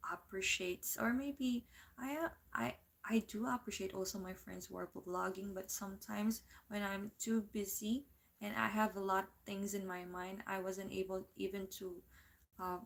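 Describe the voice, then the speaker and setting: A woman with a Filipino accent, chatting casually on her livestream and pausing often to find her words. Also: medium pitch, vocal fry. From Philippines. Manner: unhurried, searching for words, reads viewer comments aloud. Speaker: livestream host and part-time English teacher.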